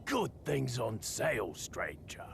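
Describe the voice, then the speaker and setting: A man voicing a sly, gravelly-voiced game merchant who drawls in a breathy, slow voice. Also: mid-range voice, wildly swooping pitch, a little hiss or hum, heavily acted. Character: sly hooded male merchant, raspy whisper. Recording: faint game audio under music.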